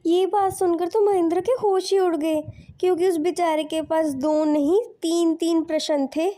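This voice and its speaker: A woman's voice: very high voice, level tone, normal speed, mellow. A woman narrating a scripted moral tale in storybook style.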